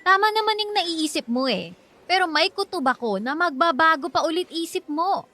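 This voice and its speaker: Bright, bossy Filipino teen girl. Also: very high voice, animated, normal speed, dry recording, heavily acted. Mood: exasperated, nagging. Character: blunt, exasperated teenage girl best friend. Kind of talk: Filipino radio drama acting.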